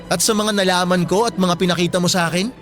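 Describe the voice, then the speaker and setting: An actor playing an indignant, principled teenage boyfriend with a Filipino accent, scolding emphatically in a radio romance drama. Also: male voice, high voice, steady tone, quick pace, soft background music, natural voice. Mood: firm rejection.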